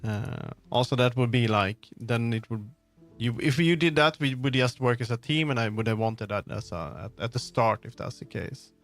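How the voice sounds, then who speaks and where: A man chatting casually on a livestream as he works, often halting and muttering to himself. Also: medium pitch. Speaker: livestreamer making a game. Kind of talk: casual livestream chat while working. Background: background music.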